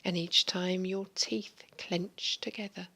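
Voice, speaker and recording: A woman guiding a hypnosis session in a slow, soothing, largely whispered voice, giving relaxation suggestions. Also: lowish voice, level tone, sharp tone. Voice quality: breathy. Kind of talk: guided hypnosis recording.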